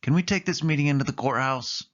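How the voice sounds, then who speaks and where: An easygoing young race car in a storybook voiced by a man, casual and agreeable. The voice is nudged higher, on a slightly muffled recording. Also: fast, fairly bright tone, lightly acted. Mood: agreeable, concerned.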